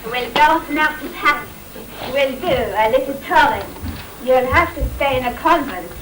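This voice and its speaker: Earnest lovesick young-hero type, acted by a woman, high lively voice, theatrical stage rhymes, echoey room. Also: moderate pace, background noise. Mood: romantic. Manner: theatrical, declamatory. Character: lovesick grown male suitor, earnest pantomime romantic hero. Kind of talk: amateur Christmas pantomime acting in rhyme. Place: live staff pantomime in a hall. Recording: echoey, distant amateur stage recording with audience.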